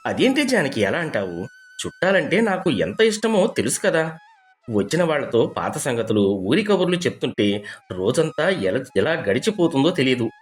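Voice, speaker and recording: A man playing a cheerful, eager village husband who loves having guests in a storybook tale. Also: on the high side. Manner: eager, persuasive. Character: cheerful, hospitable village husband who adores visiting relatives.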